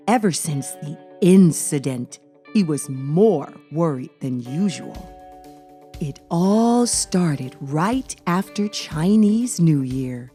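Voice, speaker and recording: A woman hosting a children's read-aloud, narrating in an expressive, deliberate way as she addresses young listeners. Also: sits low. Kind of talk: expressive children's storybook reading.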